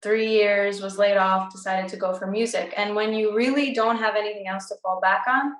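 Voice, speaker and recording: A woman's voice: medium pitch, steady tone, moderate pace. Chatty young woman in an echoey room. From United States. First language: Greek. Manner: bubbly, lots of "like" and "um". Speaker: recording artist guest. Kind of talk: casual interview chat.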